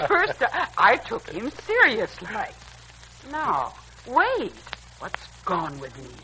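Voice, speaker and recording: A woman's voice: a little high, bright, forceful tone, heavily acted. A woman playing a folksy, good-natured housekeeper in a 1940s radio serial. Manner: folksy, homespun phrasing. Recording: noisy old 1940s radio recording.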